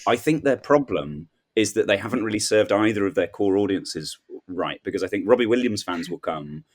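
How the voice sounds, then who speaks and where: A man with a fairly low voice chats casually as a comedy podcast guest. He rambles through whimsical anecdotes, slipping into little acted-out quotes as he goes. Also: expressive, normal speed. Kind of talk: casual comic chat.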